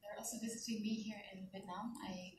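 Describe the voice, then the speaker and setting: A woman's voice: mid-range voice, steady tone, a little fast. A woman informally introducing herself to a congregation as a visitor. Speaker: church visitor. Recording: echoey hall, distant, faint noise.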